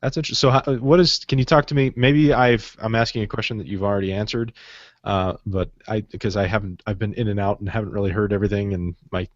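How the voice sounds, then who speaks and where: A man co-hosting a podcast over an online call, asking interview questions in a chatty, halting way. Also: fairly low pitch, fairly even intonation, no room sound, lo-fi sound. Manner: chatty and halting, with restarts and laughs. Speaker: podcast co-host. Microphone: video call.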